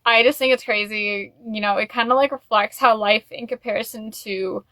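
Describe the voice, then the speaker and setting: A woman's voice: medium pitch, fairly even intonation, moderate pace, sharp tone, no room sound. A woman hosting a casual video, chatting to the camera in a rambling, gushing fan style full of "like" and "you know". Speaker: YouTube host. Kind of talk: casual chat to camera, music album review.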